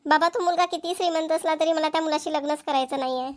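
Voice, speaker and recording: A woman's voice: high voice, fairly even intonation, quick pace, darkish tone, close to an everyday voice. A woman voicing an earnest, stubborn young village woman in a Marathi cartoon, with a rural Maharashtrian accent. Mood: defiant. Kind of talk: amateur cartoon comedy-drama dubbing.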